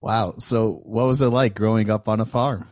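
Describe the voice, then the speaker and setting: A man with a fairly low voice hosts the interview, recorded with a dry, close sound. He circles around his questions, restarting and filling pauses as he goes. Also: steady tone, no echo. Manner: rambling questions with many fillers and restarts. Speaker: interview host. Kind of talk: hosting an interview.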